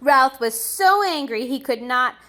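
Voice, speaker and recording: A woman teacher reading a storybook aloud to young children expressively, pausing to explain and comment. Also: high-pitched, expressive, bright, forceful tone. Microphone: recorded on camera for a video.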